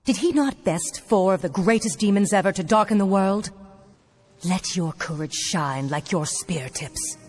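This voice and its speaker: An actress playing a proud, solemn elf war leader in a fantasy video game, speaking in a grave, formal manner. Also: female voice, mid-range voice, animated, measured pace, a little mellow. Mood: stirring encouragement. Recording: music clearly behind the voice. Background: game music.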